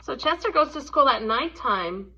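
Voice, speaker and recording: A woman reading a story aloud to young children in an expressive, teacherly way. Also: sits high, normal speed. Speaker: storybook reader. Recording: dull, lo-fi sound.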